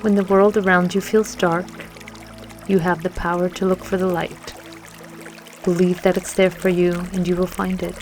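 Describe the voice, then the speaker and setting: A woman leading a scripted guided meditation with affirmations in a gentle, soothing manner, leaving long pauses between phrases. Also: on the low side, little pitch movement. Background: music and trickling water.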